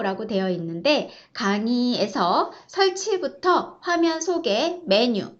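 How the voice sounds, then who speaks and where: A woman instructor narrating an e-learning course, explaining step by step in a polite way, on a slightly dull recording. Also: high-pitched.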